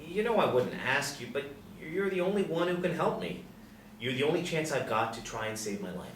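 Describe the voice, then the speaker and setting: A man's voice: fairly high pitch, animated, fairly quick, natural voice. A man, apparently middle-aged, playing an awkward, apologetic nerdy man at a script reading, hesitant and stammering. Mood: pleading. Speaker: actor at a screenplay table reading. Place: indoor room, seated. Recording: echoey room, distant mic, faint noise.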